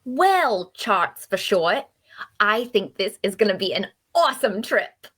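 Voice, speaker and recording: A woman's voice: mid-range voice, very animated, unhurried, a bit bright, breathy edge, full character voice. A woman playing a chatty, nosy and cheerful traveler in a fantasy audio drama, with a teasing, folksy manner. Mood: delighted. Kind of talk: dark fantasy audio drama table read.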